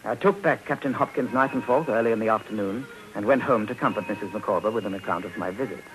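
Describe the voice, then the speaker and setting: An actor playing a grown gentleman who recounts his boyhood in measured, wry literary narration for a 1950s radio drama. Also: fairly low pitch, noisy background, natural voice. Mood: reminiscent, measured. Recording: old 1950s radio recording.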